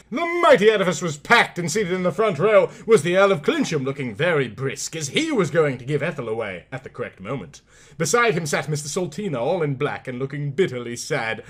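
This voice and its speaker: Male storybook narrator, highish and very bright, dramatic delivery that stretches key words and swells and falls. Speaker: reader of a storybook. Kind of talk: theatrical comic audiobook narration.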